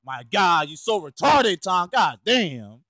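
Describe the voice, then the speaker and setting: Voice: mid-range voice, big pitch swings, takes it slowly, sharp tone, dry recording, studio quality. An amateur performer dubbing a cartoon comically, with slangy exclamations and plenty of cursing. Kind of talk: profane comic cartoon fandub.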